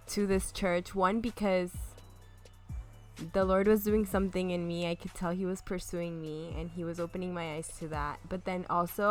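A young woman from Miami, a podcast guest, talking in an earnest, reflective way in casual chat. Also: fairly low pitch. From Miami, United States. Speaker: podcast guest, school counselor.

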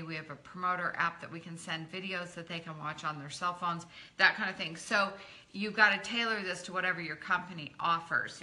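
A middle-aged woman running a live training session as a network marketing coach, talking in an encouraging, chatty way and greeting viewers by name. Her voice is a little croaky. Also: lowish voice, pushed and bright. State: slightly croaky from talking a lot.

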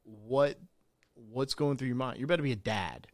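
A man's voice: medium pitch, moderate pace, no room sound, studio quality. A man in his late thirties co-hosting a casual podcast, asking his guest questions and swearing now and then. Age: 30s. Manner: curious, asks questions, swears. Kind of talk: casual interview chat.

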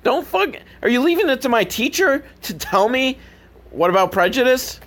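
A man's voice: extremely high pitch, big pitch swings, normal speed, fairly bright tone. A man hosting a podcast, giving a casual, profane and sarcastic comedic recap of films. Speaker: podcast host. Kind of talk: comedic film recap commentary, casual rant.

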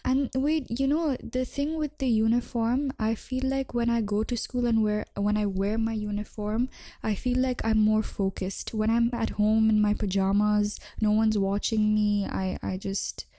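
A woman's voice: on the high side, steady tone, a little slow, mellow, no room sound. A teenage girl whose first language is Urdu, chatting casually as a podcast guest and using lots of "like" fillers. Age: teens. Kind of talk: casual chat.

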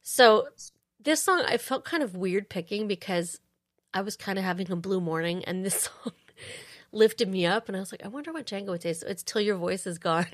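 A woman hosting a casual interview, chatty and quick to laugh. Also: medium pitch, expressive. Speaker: podcast host.

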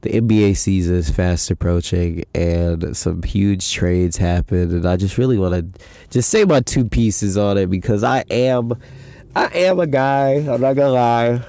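Gravelly young man with a stuffy nose. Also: on the low side, unhurried, mellow, no echo. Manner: loose, rambling, slangy. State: stuffy nose from a head cold. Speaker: podcast host. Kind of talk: casual solo podcast chat.

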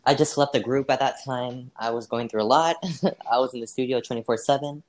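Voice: mid-range voice, brisk, a little mellow. A person answering as a guest in a casual role-played interview, hesitant and leaning on fillers, brushing off slips. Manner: hesitant, many fillers, laughs off mistakes. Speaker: interview guest playing a singer.

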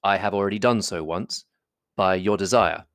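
A reader voicing a proud, reserved gentleman in a classic novel audiobook, with measured, formal speech. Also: low-pitched, dry recording, studio quality. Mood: cool reserve.

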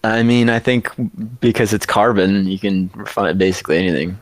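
A man's voice: lowish voice, brisk, no echo. A man cohosting a music podcast, talking casually in a chatty, hesitant way full of fillers.

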